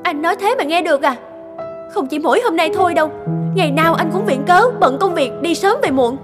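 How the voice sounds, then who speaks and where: A woman voicing a hurt, reproachful young wife and mother in a cartoon, with loud music behind her. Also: extremely high pitch, brisk. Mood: hurt, accusing. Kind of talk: animated cartoon voice acting. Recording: loud background music over voice.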